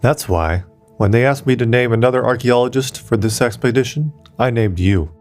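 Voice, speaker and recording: A man reading the part of an old, kindly, resigned male archaeologist in an audiobook, gentle and reflective. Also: medium pitch, moderate pace, soft background music, close to an everyday voice. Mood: weary.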